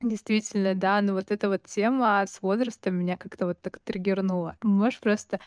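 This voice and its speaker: A woman in her twenties hosting an interview, chatty and excitable, rambling as she talks. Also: mid-range voice, a little fast, dry recording, clean studio sound. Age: 20s. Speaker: host. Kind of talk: casual interview talk.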